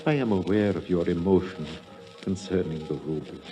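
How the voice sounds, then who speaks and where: An actor playing a calm, formal older temple priest in a 1950s radio drama, speaking in a measured, courteous way. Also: male voice, sits low, lightly acted. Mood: soothing. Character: elder priest of an Indian temple, calm, formal, enigmatic man. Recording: dull vintage 1950s radio recording, faint music.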